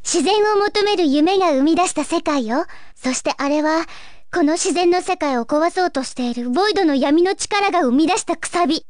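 An actress playing a gentle, earnest young fairy guide of a dream world in a video game, with a wistful, pleading manner. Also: female voice, very high voice, dry recording, studio quality. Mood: solemn. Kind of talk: Japanese video game story acting.

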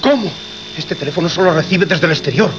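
An actor as an anxious man trapped in a radio horror story. His high voice is urgent and fretful, heard through a muffled recording with clear noise. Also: fairly quick, natural voice. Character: anxious, practical studio colleague trapped by horrors. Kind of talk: Spanish radio horror drama acting.

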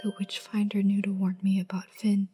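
A woman voicing an anxious, cunning young girl in an animated drama, earnest and guarded, sometimes breathy. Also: medium pitch, normal speed, lightly acted. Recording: music under the dialogue.

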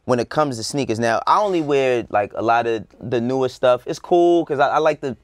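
A man's voice: mid-range voice, expressive, no echo. A man in his thirties, a guest on a studio panel, chatting in an easygoing way. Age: 30s. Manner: easygoing, quick-talking. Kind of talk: casual panel chat. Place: studio set.

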